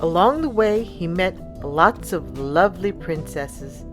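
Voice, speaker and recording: A woman narrates a children's storybook at an unhurried, slow pace. Her delivery is expressive and deliberate, made for young listeners. Music plays softly behind her. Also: on the low side. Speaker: storyteller reading a picture book aloud.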